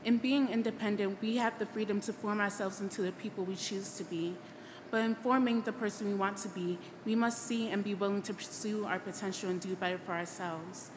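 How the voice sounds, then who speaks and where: A woman's voice: medium pitch, steady tone. A young woman in her late teens from Ghana, giving an earnest, formal scripted graduation speech at a podium microphone. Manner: earnest, formal, measured oratory. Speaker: graduating student speaker. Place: large auditorium stage at a podium. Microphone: podium microphone on a boom stand. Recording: echoey hall PA, loud background noise.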